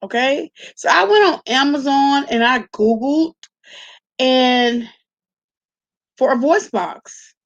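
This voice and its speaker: A woman's voice: sits high, lively intonation, takes it slowly, pauses often, dull audio. A woman hosting an online commentary channel, chatting casually and informally in a gossipy way, with salty slang and frequent check-ins with the listener. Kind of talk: casual chatty reaction vlog.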